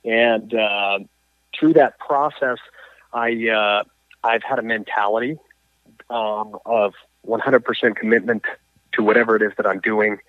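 A man, a coach and athlete, telling his story as an interview guest, halting with frequent restarts and fillers. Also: lowish voice, steady tone, dry recording. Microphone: phone or call line. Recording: tinny phone line.

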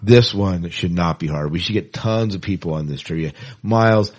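A man's voice: low-pitched, moderate pace. A man co-hosting a podcast in casual, conversational chat, often repeating phrases for emphasis. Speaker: podcast co-host.

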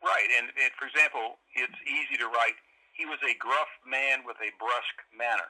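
Bright, forceful mature man on a call. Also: mid-range voice, moderate pace, slightly rough, low-quality recording. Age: middle-aged or older. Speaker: interview guest, author and lawyer. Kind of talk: conversational explanation in an interview.

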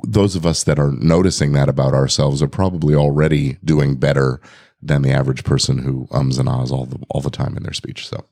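Man with a very deep, booming voice. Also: steady tone, brisk, creaky, dark tone, no room sound, clean studio sound. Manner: booming, robust voice. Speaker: podcast host. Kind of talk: casual conversational interview.

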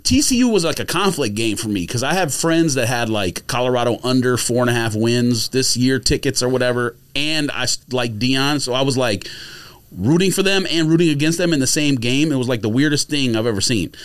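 A man's voice: mid-range voice, animated, a little fast. A man in a casual, opinionated sports podcast debate, speaking emphatically and argumentatively with plenty of slang.

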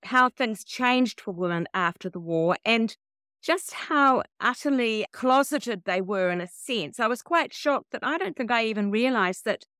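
A woman's voice: fairly high pitch, a bit bright, clean tone, dry recording, studio quality. A woman hosting a book podcast, presenting and interviewing authors. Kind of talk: podcast interviewing and scripted introductions.

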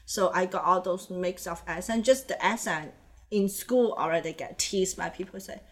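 Animated woman guest. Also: on the low side, moderate pace. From Hong Kong. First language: Chinese. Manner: animated, laughs often, acts out quoted voices. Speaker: interview guest, animator and illustrator. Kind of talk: casual interview chat.

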